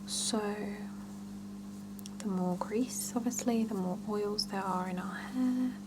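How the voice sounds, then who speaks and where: A woman whispering softly in an ASMR roleplay as a salon scalp therapist, chatting and explaining gently and without hurry. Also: mid-range voice, slow pace, noisy background. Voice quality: soft breathy whisper with creaky edges.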